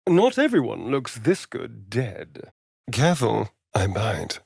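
A sardonic, vain vampire rogue from a video game, who speaks in a slow, husky drawl. His delivery is arch and flirtatious, with theatrical swoops in pitch. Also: wildly swooping pitch, darkish tone, no echo, clean studio sound, exaggerated voice. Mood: sardonic, amused. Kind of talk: video game character acting.

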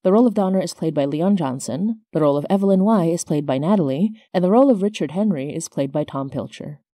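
Low, mellow woman podcast host. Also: animated, fast talker, dry recording, studio quality. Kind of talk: scripted podcast announcements and credits.

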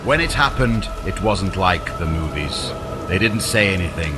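A man reading a scripted fantasy audiobook in a dramatic, deliberate storytelling manner. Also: deep voice, expressive, background noise. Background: music under the voice.

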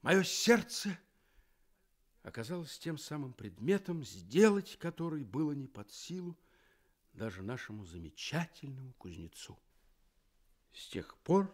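A man's voice: medium pitch, big pitch swings. An actor playing a sentimental, courteous iron woodman in a radio play, speaking earnestly and dramatically. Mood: sorrowful. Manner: earnest, dramatic, drawn-out delivery. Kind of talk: theatrical Soviet radio play acting.

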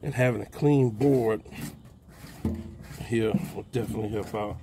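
A man's voice: fairly low pitch, moderate pace, soft background music. Folksy older man talking outdoors. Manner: folksy, relaxed, talks while plucking, calls out "baby". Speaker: backyard homesteader. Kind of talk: casual how-to narration while working. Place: outdoor backyard. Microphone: phone camera held close, hands-on filming.

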